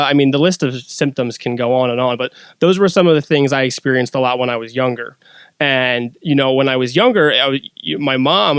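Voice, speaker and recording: A man's voice: medium pitch, smooth, dry recording. A man speaking as a podcast guest, casually telling a personal story.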